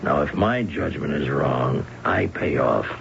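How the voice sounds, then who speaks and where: Radio drama heavy: cold loan shark, extremely low gravelly voice, blunt, unhurried, quietly threatening. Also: male voice, animated, heavily acted. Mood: cold, firm. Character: cold, menacing older male bookie and loan shark. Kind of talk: 1970s radio drama acting. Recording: dull, noisy old radio recording.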